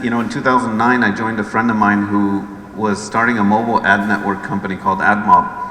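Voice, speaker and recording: The middle-aged American guest on a conference stage, low-voiced, telling his story while the room hums faintly around him. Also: sharp tone. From Los Angeles, United States. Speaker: guest speaker, chief executive. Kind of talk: conversational talk recounting own career. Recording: echoey hall with background noise.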